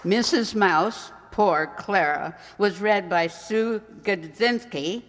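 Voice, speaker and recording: Slow, halting woman announcer in a hall. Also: medium pitch. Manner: halting, stumbling over names. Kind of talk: radio announcement of closing credits. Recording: reverberant hall, audience noise.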